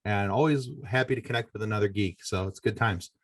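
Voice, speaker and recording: A man's voice: fairly low pitch, a little fast, dry recording, studio quality. A man with an American accent chatting casually as a podcast guest, friendly and easygoing. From Michigan, United States. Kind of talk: casual interview chat.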